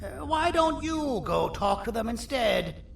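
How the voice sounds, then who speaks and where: An actor playing a vain, sardonic four-armed creature surgeon in a video game, with a gravelly, theatrical, bossy delivery. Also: male voice, very high-pitched, big pitch swings, unhurried, fairly bright tone, heavily acted. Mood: brisk, bossy. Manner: wry, theatrical, self-important. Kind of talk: video game character dialogue. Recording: roomy echo, distant, faint noise.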